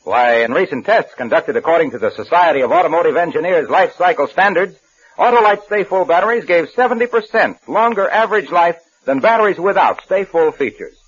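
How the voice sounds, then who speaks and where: A man's voice: a little high, lively intonation, a little fast, a bit bright, husky. A man working as a radio announcer, reading a sponsor's advertisement in 1950s broadcast style. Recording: muffled old radio broadcast recording.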